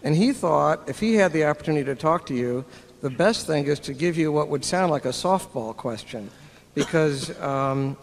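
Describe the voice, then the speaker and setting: A man's voice: fairly high pitch, animated, moderate pace, slight background noise. A man in the audience asks a long question, hesitant and full of fillers. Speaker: audience member asking a question. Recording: reverberant large hall.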